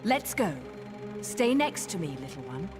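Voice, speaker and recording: A curt witch from an action game, protective and commanding. Her voice moves widely in pitch, with clear music behind it. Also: sing-song, unhurried. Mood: firm, protective. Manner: commanding, blunt, dry. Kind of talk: video game cutscene acting. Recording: loud music underneath.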